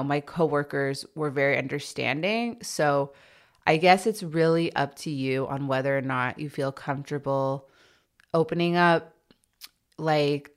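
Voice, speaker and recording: A woman who stutters, hosting a podcast and answering listener questions in a candid, reflective way, with blocks and repeated sounds. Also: sits low. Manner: candid and reflective, with many fillers. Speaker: podcast host.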